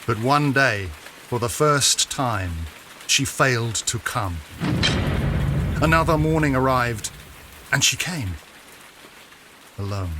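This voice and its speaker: A man narrating a period story in a solemn, measured, theatrical style. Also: medium pitch, lively intonation, background noise.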